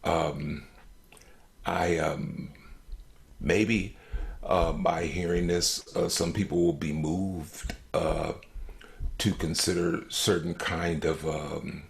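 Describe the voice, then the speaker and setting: A man, a stage actor, talking reflectively in an interview, halting often with long hesitations and ums. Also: deep voice, fairly even intonation, creaky.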